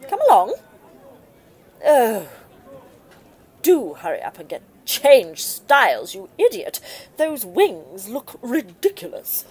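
A haughty mother played by an actress in a comic audio play. Her highish, husky voice swoops wildly as she scolds and complains, imperious and scornful. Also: sing-song, slow pace, pauses often, darkish tone, exaggerated voice. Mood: scornful, bossy. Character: haughty, selfish, bossy, indignant well-to-do mother. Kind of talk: comic audio drama acting.

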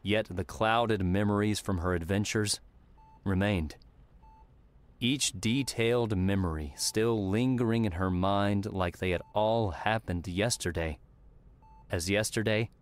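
A man's voice: lowish voice, a little slow. A man narrating a scripted story as an audiobook narrator.

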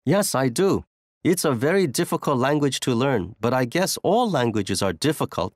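A man's voice: medium pitch, big pitch swings, normal speed, dry recording, clean studio sound, lightly acted. A man playing a thoughtful language learner in a scripted textbook passage.

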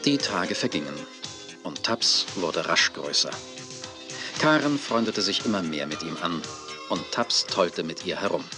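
An actor narrating a children's audio drama as its storyteller. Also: male voice, deep voice, lively intonation, unhurried. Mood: storytelling. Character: storyteller of a children's radio play. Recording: music under 1980s cassette audio play. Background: music.

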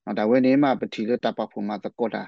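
A man's voice: on the low side, fairly even intonation, fairly quick, creaky, dry recording. A man speaking off the cuff in spontaneous commentary on a radio programme. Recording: slightly muffled shortwave radio programme audio.

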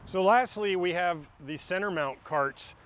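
A man's voice: high-pitched, unhurried, fairly bright tone, muffled recording. A middle-aged man giving a practical outdoor product demonstration, explaining plainly as he handles the equipment in a grassy yard. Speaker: product demonstrator for a kayak shop.

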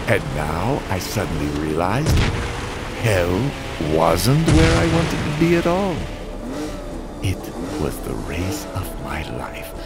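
A man as a brooding, weary driver narrating. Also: medium pitch. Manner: slow, brooding storyteller narration. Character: weary guilt-ridden stunt driver father. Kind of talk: narrated motion comic. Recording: over loud music score.

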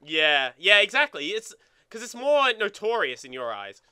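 A man's voice: high-pitched, very animated, moderate pace, bright, forceful tone, smooth, no echo, studio quality. A young man giving casual gaming commentary, rambling and swearing as he banters. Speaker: let's play co-host.

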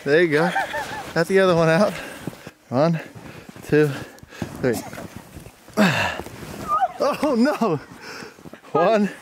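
A man's voice: fairly high pitch, a little slow, long pauses, background noise. A young American man chatting casually to a camera outdoors as he vlogs. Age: young adult. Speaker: vlogger. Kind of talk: casual vlog chat. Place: outdoors on snowy forest trail. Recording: music over the voice. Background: music.

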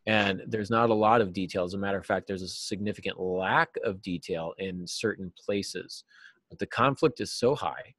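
A man in his forties giving earnest, explanatory answers as an interview guest, stressing key words deliberately. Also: low voice, a bit bright, no room sound. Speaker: writing teacher and novelist, interview guest. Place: small cluttered office, video call.

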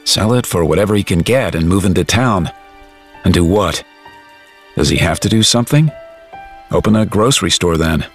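A man narrating an audio drama in a measured, reflective storytelling style with dramatic pauses. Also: low-pitched.

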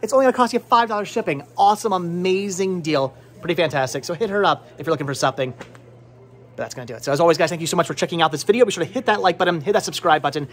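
A man in his thirties hosting a casual, chatty vlog in an excitable way, often repeating his words, recorded on a handheld camera in a shop. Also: sits high, rapid-fire. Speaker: vlog host. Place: indoor cluttered video and game shop. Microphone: handheld camera at arm's length.

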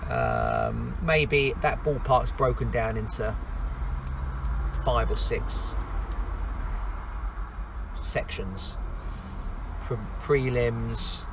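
A man's voice: medium pitch, very animated, very slow, long pauses. A man, a builder talking casually through his thoughts in a car vlog. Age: 50s. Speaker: construction company owner vlogging. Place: inside a car in traffic. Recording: clear car and road noise.

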